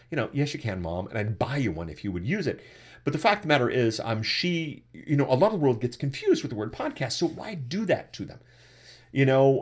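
A man in his forties with a deep voice, hosting a live webcast and answering chat questions about podcasting from his desk. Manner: animated, repeats words when starting a thought. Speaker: podcasting coach and webcast host. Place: indoors at a desk, webcam framing. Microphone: desktop USB microphone.

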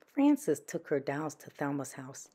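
A woman's voice: low voice, sing-song, unhurried, dark tone, husky. A woman storyteller reading a children's picture book aloud.

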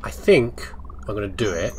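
A man's voice: on the low side, lively intonation, moderate pace, a little hiss or hum. A British man giving casual, playful game commentary, chatting to the character and asking himself questions. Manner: playful, chatty, asks himself questions, reads on-screen text aloud. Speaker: let's play host.

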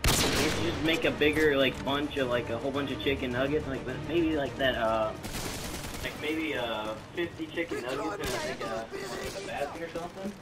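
A man's voice: mid-range voice, normal speed, over loud music. A young man making a casual prank phone call, rambling crudely in a hesitant way. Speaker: video creator making prank calls. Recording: roomy, distant mic with background noise.

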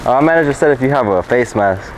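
A young man posing as a store worker, relaying messages in a polite, deadpan, casual way. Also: mid-range voice, fast. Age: young adult. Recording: noisy store audio.

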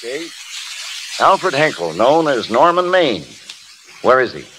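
An actor playing a disdainful night court judge, formal and authoritative, in a 1930s radio drama. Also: male voice, medium pitch, very animated, a little slow, a bit bright, rough recording. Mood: stern. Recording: old hissy radio broadcast.

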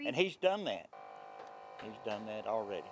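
A man's voice: lowish voice, very animated, a little hiss or hum. An older man, a pastor, speaking earnestly and plainly about faith in an interview outdoors at a building site. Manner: earnest, faith-filled, plain-spoken with pauses.

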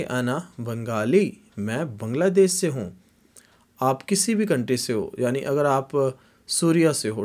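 Man, from Pakistan, indoor camera lesson, careful repeated phrasing, mid pitch. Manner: repeats phrases slowly, rising pitch on examples. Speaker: language teacher. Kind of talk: casual video lesson. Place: small indoor room.

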